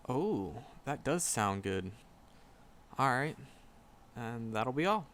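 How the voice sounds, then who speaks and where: Man acting as a slow-talking, shy magic professor. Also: medium pitch, sing-song, fairly bright tone, slight background noise. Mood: nervous, friendly. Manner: stammering, hesitant, repeats words, flustered. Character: shy, nervous young male magic professor, earnest and skittish. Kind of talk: roleplay audio drama, spoken to the listener.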